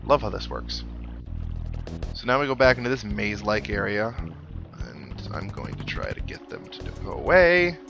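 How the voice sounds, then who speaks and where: A man's voice: fairly low pitch, big pitch swings, normal speed, creaky, a bit bright. A man giving casual, chatty video game commentary with wry asides, as the host of a let's play. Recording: game music playing behind the voice. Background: video game music.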